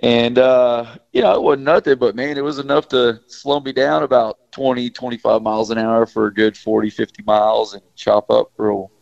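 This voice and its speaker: A hoarse man, a fishing guide calling into a radio show, who talks in upbeat, quick bursts. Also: medium pitch, steady tone, darkish tone, no room sound. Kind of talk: casual phone chat. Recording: dry, dull phone line.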